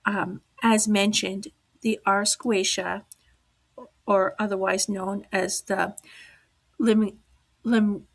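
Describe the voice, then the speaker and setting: Hoarse lady hosting an occult podcast, talks real slow and measured, whispers now and then. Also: mid-range voice, little pitch movement. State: losing her voice, hoarse. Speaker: podcast host. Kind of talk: slow, deliberate talk on the occult.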